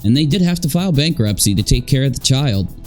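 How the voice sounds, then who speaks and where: A man comments in a sarcastic, mocking way, as if reacting to clips. His voice sits at an ordinary male pitch. Faint music plays behind him. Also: expressive, fast talker, a little mellow. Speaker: YouTube commentator.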